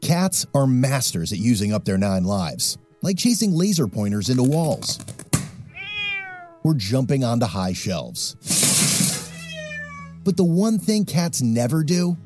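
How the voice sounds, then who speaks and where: A man's voice: medium pitch, big pitch swings, measured pace, long pauses, mellow, soft background music. A man announcer reading a scripted public service message in a light, playful way.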